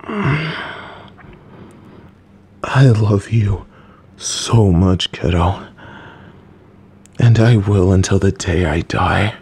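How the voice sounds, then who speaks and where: A man voicing a gentle, awkward, supportive middle-aged father, speaking in a slow, halting way with dad jokes. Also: low-pitched, lively intonation, slightly rough. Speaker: voice actor playing a father. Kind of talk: audio roleplay.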